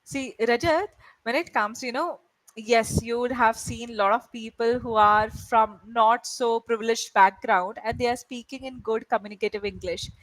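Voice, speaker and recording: A woman's voice: fairly high pitch, steady tone, normal speed, no room sound, rough recording. A woman whose first language is Malayalam, tutoring English over a video call and checking in often with her student. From India. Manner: encouraging, checks in with "right?" often. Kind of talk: online tutoring session.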